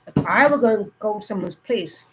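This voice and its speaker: Woman live streaming with a cold, kinda hoarse, earnest and rambly, lots of ums, mid-pitched. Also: expressive, a little fast, noisy background. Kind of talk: casual live-stream talk.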